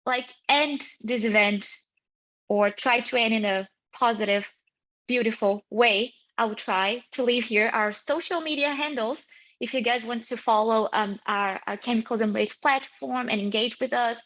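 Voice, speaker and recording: A woman's voice: mid-range voice, a bit bright. A woman moderating an online youth event, upbeat and chatty, with many fillers. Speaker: webinar moderator. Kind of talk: casual online event hosting. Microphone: video call. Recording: muffled online call audio.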